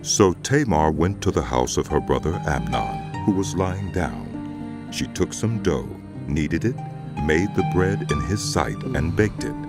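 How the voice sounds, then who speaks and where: A man's voice: very deep voice, animated, a little slow. A man reading scripted narration for a dramatized audio Bible. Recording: loud music under the voice.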